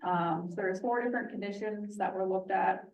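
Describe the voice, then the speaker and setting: A quiet-voiced woman walks a room through a traffic study, pausing on plenty of ums. She stands some way from the mic, and her voice rings around a roomy meeting hall. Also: mid-range voice, recorded from a distance. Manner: soft-spoken, explaining with frequent ums. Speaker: traffic engineer presenting a study. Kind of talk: public meeting presentation.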